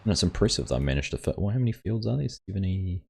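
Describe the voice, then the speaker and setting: A man's voice: sits low, lively intonation, fast, gravelly, darkish tone, slightly rough. A man hosting a gaming livestream, chatting casually and reading viewers' comments aloud. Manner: rambling, reads chat aloud and answers viewers.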